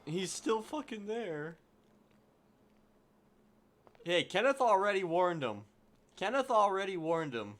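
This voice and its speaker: A man's voice: high-pitched, big pitch swings, slow pace, pauses often, fairly bright tone. A man giving casual live-stream game commentary, musing aloud in a rambling way and repeating himself.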